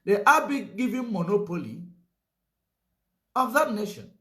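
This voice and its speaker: Emphatic high-voiced man. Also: very animated, measured pace, pushed and bright, echoey room. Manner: emphatic, repeats points, many "you know" fillers. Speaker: commentator. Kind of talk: political commentary monologue.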